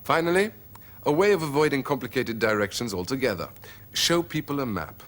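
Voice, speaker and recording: A man narrating a language lesson, repeating phrases slowly and clearly. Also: mid-range voice, big pitch swings, a bit bright, slight background noise. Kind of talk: scripted teaching narration.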